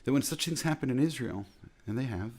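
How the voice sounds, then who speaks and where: A man with an American accent, a rabbi giving an earnest, measured reflective talk that repeats phrases for emphasis. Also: medium pitch, animated, darkish tone. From United States.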